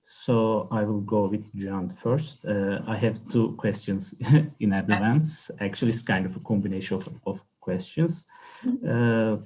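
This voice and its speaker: A man moderating an academic question-and-answer session, speaking unscripted in halting, hesitant phrases. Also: on the low side, a little mellow, breathy edge.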